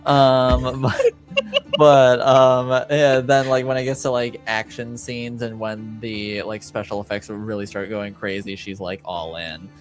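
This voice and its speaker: A man narrating a tabletop roleplaying game as game master, speaking casually and haltingly as he improvises. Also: mid-range voice, little pitch movement, soft background music. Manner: halting, hesitant, casual phrasing.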